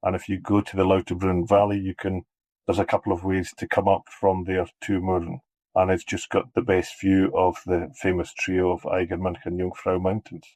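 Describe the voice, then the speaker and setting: A man's voice: low voice, monotone, moderate pace, a little mellow, no room sound, rough recording. A middle-aged Scottish man, guest on a travel podcast, talking about his work, often repeating words as he restarts. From Scotland. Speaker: cableway marketing head. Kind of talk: casual interview talk.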